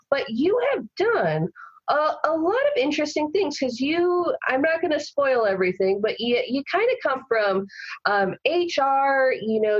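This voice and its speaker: A woman hosting a podcast interview, chatting casually and gushing with excitement. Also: fairly high pitch, slightly rough, highs cut off. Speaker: podcast host.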